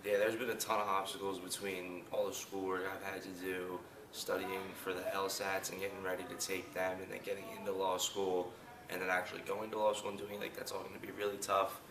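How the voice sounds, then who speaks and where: A twenty-year-old man from New Jersey, a college student, giving quick, matter-of-fact interview answers. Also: sits low, monotone. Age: 20s. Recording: roomy, distant mic, background noise.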